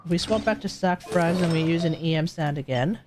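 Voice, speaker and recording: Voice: mid-range voice, a little fast, background noise. A game streamer rambling casually to themself and the chat while commentating live play. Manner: rambling, talks to self and chat. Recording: game music behind the voice.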